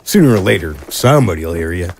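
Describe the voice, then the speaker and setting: Man playing a low-voiced, taunting jailer. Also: sing-song, quick pace, creaky, slight background noise, heavily acted. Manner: taunting, crude. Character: mocking, hostile male jailer and henchman. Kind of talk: audio drama acting.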